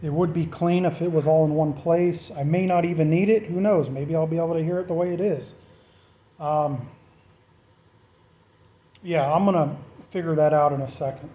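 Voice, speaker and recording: Male DIY vlogger, slightly high pitch but soft, dark-edged tone, informal thinking-aloud delivery. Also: steady tone, normal speed. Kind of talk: casual off-the-cuff how-to narration.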